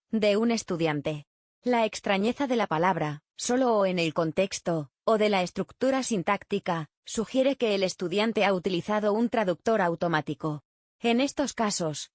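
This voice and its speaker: A woman reading written answers aloud in a plain, steady way. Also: mid-range voice, no echo, studio quality. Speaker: reader of survey answers. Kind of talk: scripted reading.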